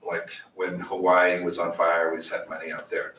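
Animated man teaching in an echoey room. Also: low voice, normal speed, recorded from a distance, slight background noise. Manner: animated, gestures widely, asks the audience questions. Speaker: church teacher. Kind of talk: Bible study teaching. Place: small church meeting room.

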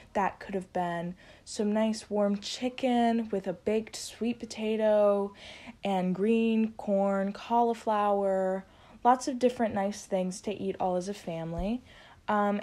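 Mellow young woman explaining history. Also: medium pitch. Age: young adult. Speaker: student storyteller. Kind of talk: informal explanatory oral history.

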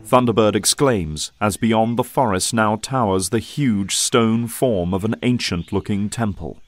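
Male narrator, low voice, scripted action-drama storytelling with music underneath. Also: a little slow, dry recording. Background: music and effects.

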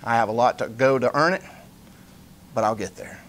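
A man in his forties presenting a business pitch live in a meeting room, plain-spoken, in short emphatic phrases. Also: a little fast, a bit bright, a little hiss or hum. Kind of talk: informal live presentation with slides. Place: indoor meeting room, standing at a lectern.

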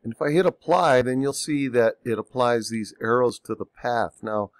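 A man getting over a cold, narrating a software tutorial over a screen recording, unhurried and thinking aloud with ums and self-corrections. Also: mid-range voice, no echo.

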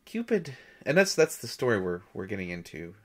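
A man playing a shy, gentle substitute teacher, halting and nervous, in an intimate audio roleplay lecture. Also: on the low side, very animated. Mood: thoughtful, playful. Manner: hesitant, nervous, halting with soft chuckles. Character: shy, gentle male Greek god posing as substitute teacher.

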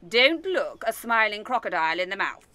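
A woman's voice: mid-range voice, big pitch swings, moderate pace, pushed and bright. A woman playing a nosy, opinionated, chatty neighbour in a radio comedy play, theatrical and fussy. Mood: nosy, gushing.

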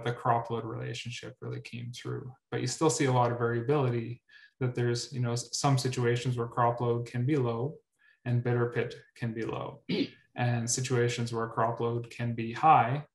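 Young male researcher, mid-pitch, webinar delivery, stumbles and repeats, roomy webcam sound. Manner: repeats words often, many ums. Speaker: researcher presenting at a grower meetup. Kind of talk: webinar presentation. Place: indoor room. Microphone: webcam on video call. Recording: roomy echo.

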